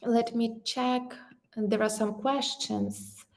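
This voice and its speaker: A woman from Ukraine presenting an online workshop over a video call, speaking slowly with many fillers and restarts. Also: on the high side, steady tone, creaky.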